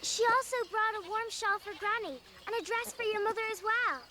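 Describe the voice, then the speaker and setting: A young girl acting an eager, warm-hearted child of the Alps in a film drama. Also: very high-pitched, a little fast, very noisy. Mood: cheerful. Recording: film soundtrack with music behind.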